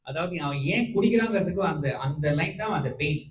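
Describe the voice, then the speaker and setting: A man giving encouraging, halting feedback as a singing audition judge, switching between two languages. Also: high voice, animated. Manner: encouraging, halting with fillers, mixes Tamil and English. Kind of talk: encouraging spoken feedback to a contestant. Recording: echoey room with background noise.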